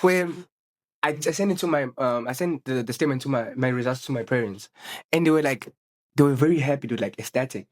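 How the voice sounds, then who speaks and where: A young man from South Africa with a South African accent, co-hosting a casual podcast chat, halting with many restarts and slang. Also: mid-range voice, a little mellow. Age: young adult. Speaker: podcast co-host.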